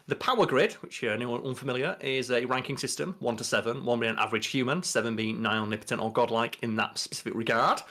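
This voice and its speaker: A man's voice: mid-range voice, a bit bright. A man, around his thirties, co-hosting a casual podcast, explaining things in an emphatic, sweary way. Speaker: podcast co-host. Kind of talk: casual banter, explaining pop culture.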